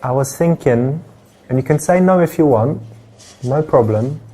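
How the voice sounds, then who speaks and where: A man's voice: mid-range voice, lively intonation, normal speed, mellow, lightly acted. A man acting as a friendly, flirtatious character in casual, slightly hesitant conversation for a live-action game. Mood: gentle, hesitant.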